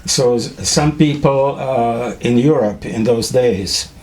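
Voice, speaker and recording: An older man from Czechoslovakia whose first language is German, telling students about his early life in a halting way, with many fillers and restarts. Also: mid-range voice, darkish tone, a little hiss or hum, poor audio. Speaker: Holocaust survivor speaking to students. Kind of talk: testimony to a school class.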